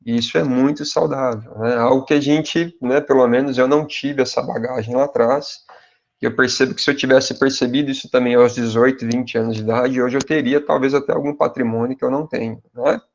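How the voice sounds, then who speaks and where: A man in his forties with a Brazilian Portuguese accent and a gravelly, mid-range voice advises graduate students in a relaxed, conversational way. He addresses his viewers directly and often adds little tags asking if they follow. The audio is a bit dull and lo-fi. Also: mid-range voice, fairly quick. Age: 40s. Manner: speaks directly to viewers as "pessoal". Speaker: university professor. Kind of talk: advice talk to graduate students.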